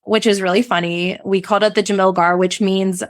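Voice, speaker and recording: A woman's voice: mid-range voice, steady tone, brisk. A woman speaking as an interview guest, telling personal stories in a conversational way. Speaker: podcast guest, food blogger.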